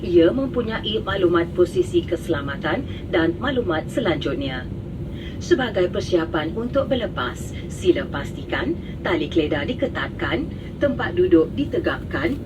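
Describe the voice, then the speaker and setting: A Malaysian woman reading a scripted cabin safety announcement as a flight attendant. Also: lowish voice. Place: inside an airliner cabin. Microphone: cabin PA picked up by binaural recorder. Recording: noisy cabin speaker, heard from far off. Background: steady aircraft noise.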